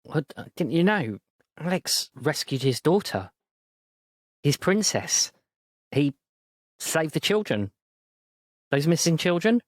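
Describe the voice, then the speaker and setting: A man voicing a cheerfully evasive, smooth-talking adult man in a tabletop game. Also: a little high, big pitch swings, slow pace, pauses often, no echo, studio quality, voice pushed up. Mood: cheery.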